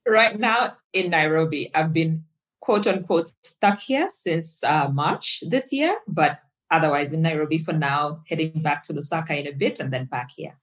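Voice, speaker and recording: A woman's voice: low-pitched, moderate pace. A woman from Zambia, a guest on an interview podcast, talking casually and upbeat with frequent laughter. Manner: upbeat, laughs often, animated when storytelling. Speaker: podcast guest, tech executive and fitness coach.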